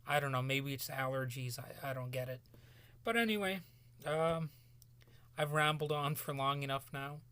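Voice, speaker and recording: A man talking solo in a rambling podcast commentary, halting and restarting often, his voice cracking as he loses it. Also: mid-range voice, pushed and bright, smooth, no echo. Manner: halting, many restarts and fillers. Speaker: podcast host.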